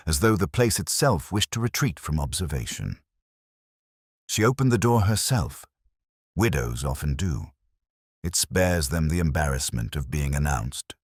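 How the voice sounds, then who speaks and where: Male narrator, very low register, dry close sound, measured literary delivery for detective fiction with wide melodic movement. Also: breathy edge, dry recording. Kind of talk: scripted first-person detective story narration.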